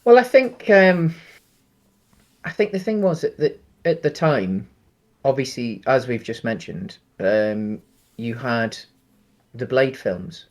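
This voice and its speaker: A man's voice: mid-range voice, lively intonation, slow. A man co-hosting a casual film discussion, talking in an explanatory, hedging way. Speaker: podcast co-host.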